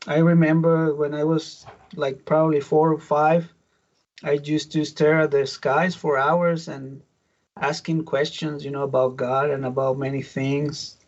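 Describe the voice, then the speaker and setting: A middle-aged man from Mexico with a Mexican Spanish accent, a native Spanish speaker giving his testimony as a pastor in an interview, halting with many restarts. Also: on the high side, level tone, muffled recording. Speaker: pastor, guest.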